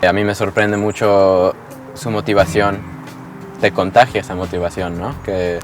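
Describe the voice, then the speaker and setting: A man talking in an interview about a fellow climber, soft-spoken. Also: sits low, fairly even intonation, unhurried, soft background music.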